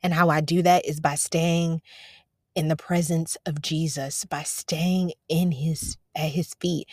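A woman hosting a devotional podcast, preaching casually from her parked car, talking to listeners as y'all, her voice going a little raspy. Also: sits low, no echo, clean studio sound. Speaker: podcast host.

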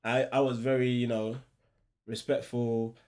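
A man's voice: medium pitch, little pitch movement, unhurried, a little mellow. A man who grew up in Ghana, reflecting steadily on his past during a casual group discussion.

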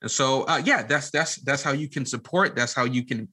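Bright-voiced man in casual interview talk. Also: mid-range voice, normal speed. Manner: earnest, informal, gives advice. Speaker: podcast guest, media company CEO.

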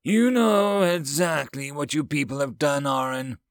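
Man acting out an outraged alien chancellor, pitched high. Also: unhurried, no echo, clean studio sound. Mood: furious. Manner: indignant, emphatic, demanding. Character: exasperated insectoid alien chancellor, male, clicking and indignant.

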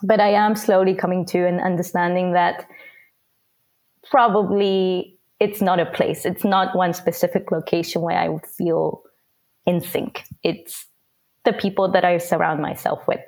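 A woman from Japan giving a reflective interview answer, laughing softly between phrases. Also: on the low side, level tone, a little slow. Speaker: guest.

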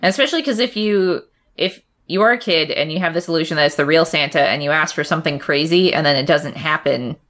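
A woman co-hosting a podcast in casual, playful chat, quick to giggle. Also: deep voice, fairly bright tone. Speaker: podcast co-host.

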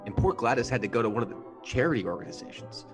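A man's voice: medium pitch, animated, racing, music in the background, close to an everyday voice. A man acting in a radio play as a chatty, gossipy telephone operator telling a story. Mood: gossipy, dramatic. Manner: gossipy, breaking off to take calls.

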